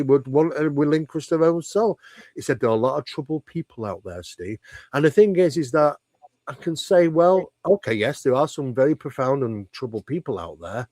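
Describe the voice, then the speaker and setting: A man giving an interview as a researcher, with a very animated pitch that swings up and down. He talks in an emphatic, conversational way, posing questions and repeating phrases for stress. The sound is dry and close. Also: dry recording. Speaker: interview guest, paranormal researcher.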